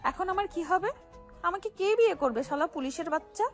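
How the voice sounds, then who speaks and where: A woman acts out a feisty young wife with a very high voice. She is dramatic, slangy and quick to scold, in the manner of an audio love story. Faint music plays behind her. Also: expressive. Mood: indignant lament. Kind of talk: audio romance story acting.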